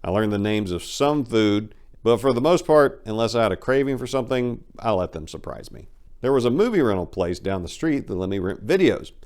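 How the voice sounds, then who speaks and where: Low-voiced man reading a brash memoir. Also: darkish tone. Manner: brash, wry, colloquial storytelling. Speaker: narrator. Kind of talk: dramatic reading of a first-person memoir.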